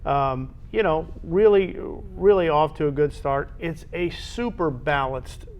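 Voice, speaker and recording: An American man hosting a local high school sports show with casual chat, moving the discussion along. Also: a little slow.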